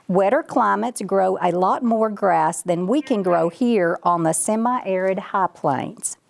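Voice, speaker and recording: A woman's voice: medium pitch, gravelly, exaggerated voice. A woman voicing a boastful, folksy male ranch dog in a children's storybook, bragging and chatting straight to the listener. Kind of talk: children's storybook read-aloud.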